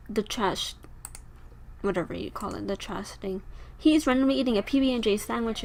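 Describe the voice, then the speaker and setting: Raspy young woman narrating her gameplay. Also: on the high side, a little hiss or hum. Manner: chatty, rambling, talks to her game characters. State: tired, sore throat. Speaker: gameplay video host. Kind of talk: casual gameplay commentary.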